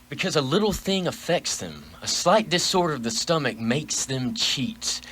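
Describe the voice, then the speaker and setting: A frightened miserly businessman, acted by a man in a radio drama. His voice is bright and tense at a middle pitch, with a theatrical, pleading, stammering delivery. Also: big pitch swings, unhurried. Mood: nervous scoffing. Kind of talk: theatrical radio play acting.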